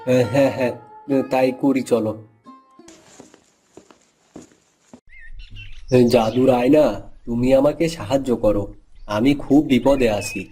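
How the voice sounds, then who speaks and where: A man acts out a humble, worried village tea seller in a cartoon fable. He has a soft-edged, mellow voice at an ordinary male pitch and speaks plaintively and earnestly. Faint music plays behind him. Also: steady tone, moderate pace, natural voice. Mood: troubled, pleading. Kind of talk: Bengali cartoon moral-story voice acting.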